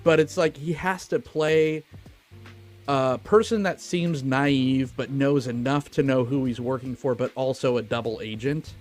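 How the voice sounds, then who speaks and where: A man's voice: mid-range voice, steady tone, measured pace. Emphatic, informal man talking over music. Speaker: podcast co-host. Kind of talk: casual movie review chat. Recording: music playing behind the voice. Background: background music.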